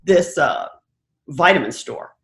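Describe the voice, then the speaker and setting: Expressive American woman in an echoey room. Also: low-pitched, slow. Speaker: interview guest, broadcast producer. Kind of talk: conversational anecdotes in an interview. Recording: roomy, echoing sound.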